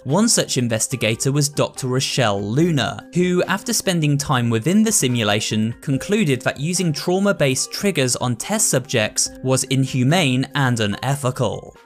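Mężczyzna opowiadający historię gry. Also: male voice, medium pitch, moderate pace, hardly any pauses, faint music behind. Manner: steady, explanatory. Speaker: narrator. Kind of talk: scripted lore narration.

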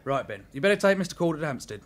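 A man as a knowing underworld garage boss in a radio drama. His fairly high voice has dry wit and very lively intonation. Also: very fast. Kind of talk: vintage radio drama acting.

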